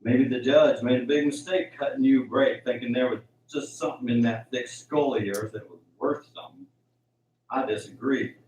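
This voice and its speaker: A man's voice: mid-range voice, steady tone, normal speed, darkish tone, lightly acted. A man playing a bitterly angry father in an amateur radio drama, scolding in folksy rural phrases. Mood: scornful, angry. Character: harsh, bitterly angry father berating his teenage son. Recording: dull, roomy, distant, faint hiss.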